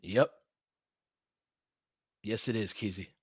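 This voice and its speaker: A man giving casual, analytical basketball commentary off the cuff, thinking aloud in a halting, conversational way. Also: fairly low pitch, slightly rough, dry recording, studio quality. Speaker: audio play-by-play host and basketball analyst. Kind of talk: casual off-the-cuff sports commentary, answering listeners.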